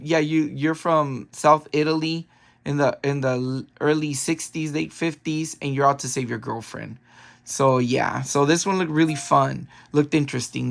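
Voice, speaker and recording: A man recovering from a cold, his voice a little raspy, rambling through a casual video game haul with lots of ums and restarts. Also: fairly high pitch, a bit bright. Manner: rambling, frequent ums and self-corrections. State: getting over a cold, raspy. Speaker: video game collector vlogger.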